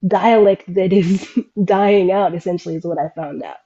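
A woman's voice: on the low side, fairly even intonation, moderate pace, warm tone. Reflective woman on a dull call line. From Sudan and United States. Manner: reflective, searching for words with many restarts. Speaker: guest. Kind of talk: casual interview talk.

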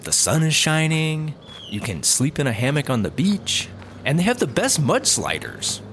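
A man's voice: a little high, huge pitch swings, normal speed, fairly bright tone, a little hiss or hum, full character voice. A man playing a clueless, cheeky fellow in a comedy audio drama, with a glib, oblivious delivery. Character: clueless, cheeky man, flippant lovelorn rehab patient.

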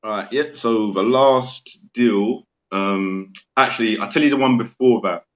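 A man talking as a guest on a video call, relaxed and rambling, often agreeing. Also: on the low side, measured pace, low-quality recording. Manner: relaxed, affirming, rambling with fillers. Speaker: guest property investor. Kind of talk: casual online interview chat.

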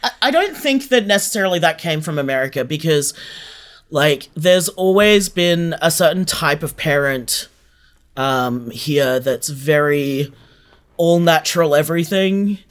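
Conversational Australian interviewee, medium pitch. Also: animated, a little slow. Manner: thinking aloud, conversational. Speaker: interviewed fiction podcast creator. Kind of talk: casual interview chat.

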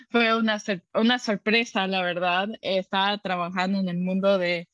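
A woman guest talks about her roots and work in an upbeat, lively way, laughing often. Her voice is bright and sharp. The sound is dry, with no room echo. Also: medium pitch, measured pace, dull audio. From born in the United States, raised in the Dominican Republic, Ecuadorian family. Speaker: tech worker, podcast guest. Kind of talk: conversational interview.

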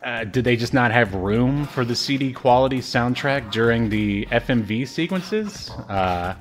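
A man's voice: mid-range voice, expressive, unhurried. A man co-hosting a podcast, chatting casually and jokingly about a game in loose, slangy phrases that often trail off. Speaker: podcast co-host.

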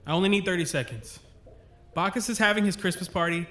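A man's voice: sits high, fast, bright, forceful tone. A man playing a doting, joking father with a wry, rambling manner in an audio drama. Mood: wry, tender.

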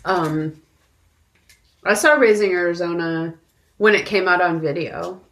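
A woman with a somewhat low-pitched voice co-hosts a film podcast. She talks in an informal, conversational way, casually sharing her thoughts in everyday phrasing. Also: unhurried, fairly bright tone. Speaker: podcast co-host.

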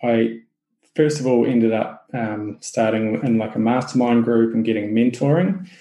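A man's voice: medium pitch, normal speed, roomy sound, poor audio. A man with a New Zealand accent, a podcast guest chatting casually about his business, with plenty of fillers and restarts. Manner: relaxed, many fillers and restarts. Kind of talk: casual interview chat.

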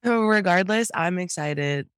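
A woman's voice: fairly low pitch, expressive, measured pace, smooth, no echo, studio quality. Young woman co-host with a cold. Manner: playful, laughing often, announcer-like. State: sick with a cold. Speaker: podcast co-host. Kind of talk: casual chat.

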